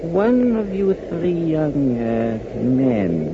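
An actor playing a frail, shrewd French shoemaker in his eighties, with a French accent and halting speech, in 1940s radio drama style. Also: fairly high pitch, sing-song, exaggerated voice. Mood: gentle, knowing. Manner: slow, halting delivery. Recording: noisy, muffled 1940s radio recording.

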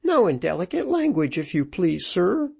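A man voicing a brash, sharp-tongued widow, mocking and indignant, in a dramatised storybook reading. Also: high voice, big pitch swings, moderate pace, vocal fry, slightly rough, voice pushed up, heavily acted. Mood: rising indignation. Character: brash sharp-tongued middle-aged widow, scornful and scolding. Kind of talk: dramatised audiobook character voice.

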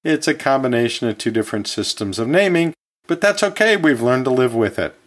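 A man's voice: medium pitch, expressive, brisk, background noise. A man hosting an educational podcast, talking like a chatty teacher in a conversational, folksy way with casual asides. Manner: chatty, chuckles often, self-correcting asides. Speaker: podcast host and anatomy and physiology teacher.

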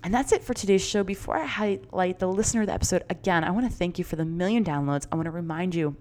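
A middle-aged woman coaching her listeners through her own podcast in a bright, encouraging, chatty way. Her voice sits a little low for a woman. She talks with easy fillers and rising enthusiasm. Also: fast talker. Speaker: podcast host and confidence coach. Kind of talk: upbeat solo podcast talk.

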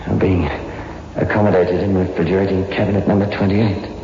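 An actor playing a tough young crime reporter who is terrified and near cracking, in a melodramatic 1960s radio horror drama. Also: male voice, low-pitched, level tone, brisk, lightly acted. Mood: haunted, tense. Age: young adult. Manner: melodramatic, swinging from hushed narration to frantic shouting. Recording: muffled, echoey old radio recording with music.

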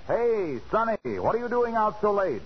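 An actor playing a genial, eager spark plug salesman with a husky voice and folksy patter in a 1940s radio advertisement. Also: high-pitched, sing-song, moderate pace, loud music behind the voice. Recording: dull 1940s radio recording.

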